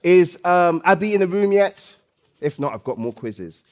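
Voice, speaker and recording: A man hosting a live stage event, loud and playful, coaxing the audience and often repeating phrases. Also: high-pitched, expressive, normal speed. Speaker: event host and MC.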